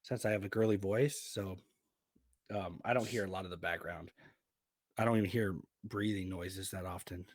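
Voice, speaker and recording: A man's voice: low-pitched, fairly even intonation, normal speed, dry recording, clean studio sound. Self-mocking rambling podcast guest man. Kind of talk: casual podcast chat.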